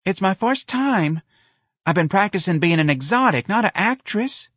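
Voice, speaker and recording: Man putting on a high, naive young woman. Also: animated, slow pace, husky, no room sound, studio quality, exaggerated voice. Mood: pleading. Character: naive, eager young woman, aspiring exotic dancer.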